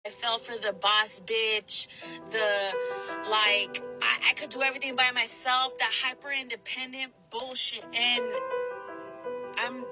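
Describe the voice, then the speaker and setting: A woman's voice: fairly high pitch, level tone, measured pace, over music, rough recording. A woman in her thirties speaking bluntly and reflectively in a personal video. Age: 30s.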